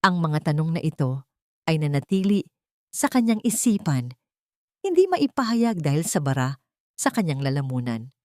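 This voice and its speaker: A woman's voice: low-pitched, very animated, moderate pace, pauses often, dark tone, dry recording, studio quality. A woman reading a scripted love story as a narrator, with a solemn, dramatic delivery.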